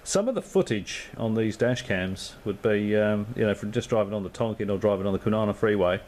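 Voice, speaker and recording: A man's voice: on the low side, fairly quick, a little mellow, slight background noise. An Australian man and amateur radio operator in easygoing, rambling radio chat, full of fillers and signing off with call signs. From Perth, Western Australia. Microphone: radio transceiver microphone.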